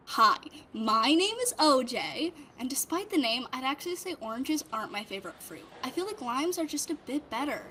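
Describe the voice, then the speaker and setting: A woman plays a bubbly young street artist in an audio drama. The voice is very high and bouncy, eager and chatty, with noise behind it. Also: very animated, moderate pace, bright, forceful tone, full character voice. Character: OJ, bubbly playful young faceless graffiti artist.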